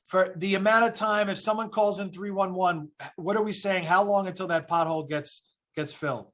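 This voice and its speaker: A man's voice: high-pitched, fairly even intonation, moderate pace, a bit bright. A man serving as a council member, asking questions at a hearing in a casual, friendly way and reading prepared questions.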